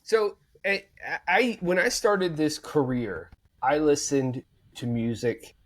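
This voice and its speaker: A man's voice: on the high side, slow. Rambling man chatting on a podcast. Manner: rambling, many fillers and restarts. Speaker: podcast co-host. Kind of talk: casual chat.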